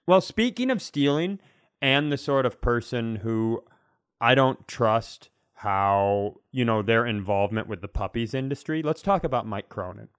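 A man's voice: mid-range voice, expressive, a little slow, clear, resonant tone, no room sound, clean studio sound. A man co-hosting a comedy podcast, bantering casually in a wry, mock-serious way.

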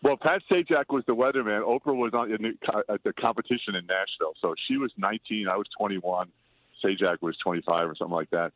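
An older man chatting as an interview guest, loud-voiced, rambling and self-deprecating. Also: fairly low pitch, quick pace, no room sound. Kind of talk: casual interview chat. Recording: tinny dry phone line.

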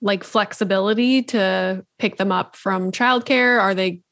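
An upbeat woman hosts a podcast, asking curious questions and reacting quickly. Her voice sits at a middle pitch, and the recording is dry and close to the mic. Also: fairly even intonation, fairly bright tone, no echo. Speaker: podcast host. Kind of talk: casual interview.

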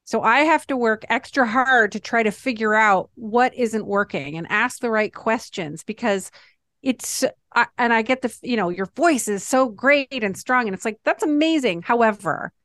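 A woman screenwriter co-hosting a talk show, speaking about her own work in a reflective, halting way. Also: fairly high pitch, fairly bright tone, no echo. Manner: self-reflective, halting, many restarts. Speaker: podcast co-host and screenwriter. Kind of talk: casual reflective chat.

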